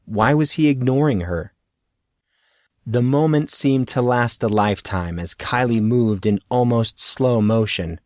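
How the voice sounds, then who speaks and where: A man reading a fantasy audiobook in a measured, dramatic storytelling manner. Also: medium pitch, darkish tone, no room sound, studio quality. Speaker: audiobook narrator. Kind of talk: scripted fantasy story narration.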